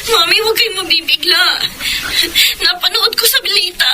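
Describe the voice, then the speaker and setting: An actress playing a distraught young daughter in a Filipino radio drama. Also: female voice, very high-pitched, moderate pace. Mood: panicked. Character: distraught young daughter breaking bad news. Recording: clearly noisy background.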